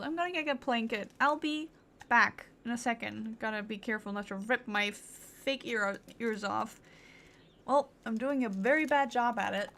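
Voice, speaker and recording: A woman's voice: sits high, pushed and bright. A woman streamer giving casual live gameplay commentary, with a playful, cutesy way of playing with words. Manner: playful, cutesy word play. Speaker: game streamer. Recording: faint background noise and game ambience.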